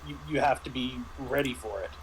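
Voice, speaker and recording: A man casually recounting tabletop game sessions as game master, speaking haltingly and often clearing his throat. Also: medium pitch. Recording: clearly noisy background.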